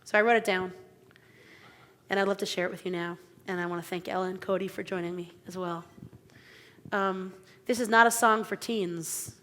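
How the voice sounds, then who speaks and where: Woman rabbi, bright conversational sermon voice, halting with fillers, long sanctuary reverb. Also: lowish voice, fairly even intonation. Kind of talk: informal sermon, spoken. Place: synagogue sanctuary.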